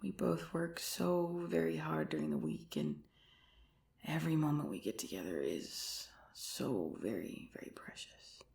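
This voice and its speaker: A woman playing an affectionate, sleepy girlfriend in an ASMR roleplay, whispering and murmuring softly with a breathy voice. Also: low-pitched, sing-song, unhurried, full character voice. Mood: drowsy, tender.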